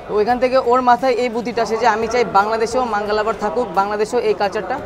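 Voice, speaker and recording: A man's voice: high-pitched, steady tone, racing. A man on a business's staff giving an informal, explanatory account in an interview. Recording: crowd and music behind.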